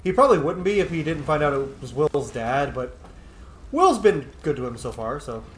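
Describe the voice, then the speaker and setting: A man's voice: a little high, sing-song, normal speed. A man giving casual, joking commentary as he roleplays through a video game. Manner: informal, joking. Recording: roomy echo, faint game noise behind.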